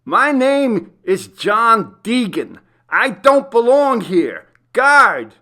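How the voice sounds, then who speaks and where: Man acting out a frantic prisoner, pitched high. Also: sing-song, drawn-out words, pushed and bright, heavily acted. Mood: panicked, screaming. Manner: desperate, pleading, emphatic. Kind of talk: audiobook character acting.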